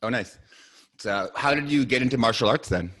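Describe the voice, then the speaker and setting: A man's voice: mid-range voice, brisk, fairly bright tone. A man with a Canadian accent hosting a podcast and interviewing a guest in a casual, conversational way.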